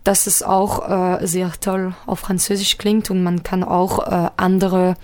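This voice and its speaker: A woman's voice: on the low side, little pitch movement, unhurried, darkish tone. French-speaking woman talking about her album. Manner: hesitant, many fillers, careful non-native phrasing. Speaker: singer-songwriter guest. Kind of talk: radio interview.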